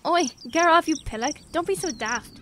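A woman's voice: high-pitched, animated, moderate pace, noisy background. A woman playing an eager, curious schoolboy with an English accent in a radio drama. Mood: excited. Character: eager, curious British schoolboy named Nigel.

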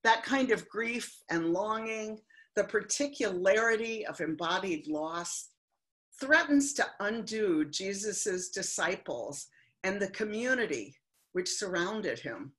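Older lady preaching slow and thoughtful from home, webcam sound. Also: medium pitch, lively intonation, fairly bright tone. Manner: slow, reflective, heartfelt delivery. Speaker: minister. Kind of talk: sermon. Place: home living room. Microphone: webcam on video call.

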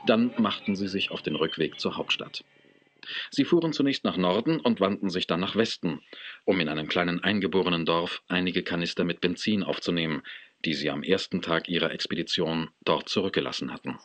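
A man narrating a children's audio drama in a measured storytelling manner. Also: low voice, fairly bright tone.

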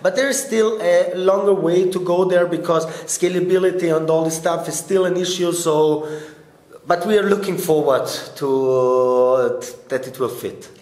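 A man's voice: fairly high pitch, darkish tone. Man with a roomy sound. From born in Bosnia, raised in Austria. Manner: earnest, slightly halting non-native English with fillers. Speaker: startup business developer, interview guest. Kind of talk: conversational interview answers. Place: event press area in front of a logo backdrop, indoors. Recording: roomy event interview recording.

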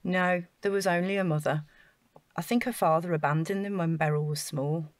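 A woman's voice: fairly low pitch, moderate pace, no room sound, close to an everyday voice. An actress playing an earnest, naive council housing officer in an audio drama, chatty and flustered as she over-explains. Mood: explaining.

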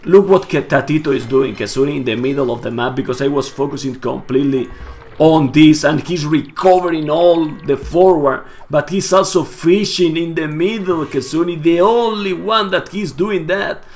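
A hoarse, Spanish-native man casting a live strategy game match, getting louder and repeating himself at big plays. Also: on the high side, moderate pace. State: hoarse, losing his voice. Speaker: esports caster. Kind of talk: excited live game commentary.

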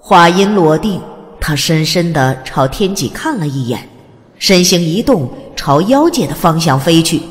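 A woman's voice: deep voice, expressive, unhurried. A woman narrating a fantasy audiobook in a dramatic, scripted storytelling style. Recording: long reverb over music. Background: music.

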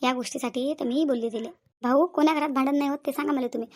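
Cartoon village auntie, voiced by a woman: middle-aged placating peacemaker, high-pitched, brisk, chatty, Varhadi Marathi accent. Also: steady tone. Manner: talkative, reassuring, repetitive persuasion. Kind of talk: comedy cartoon dialogue.

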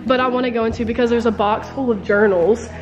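A young woman vlogs in a casual, chatty style on a handheld camera. Her voice sits a little high for a woman, and music plays clearly behind her. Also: fairly even intonation, fast, dull audio. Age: young adult. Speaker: vlogger. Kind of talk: casual vlog chat. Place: handheld camera on the move in an abandoned school, later outdoors and in a car.

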